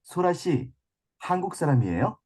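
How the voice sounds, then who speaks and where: A woman reading her lines in a scripted listening-practice dialogue, clear and deliberate. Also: fairly low pitch, big pitch swings, darkish tone.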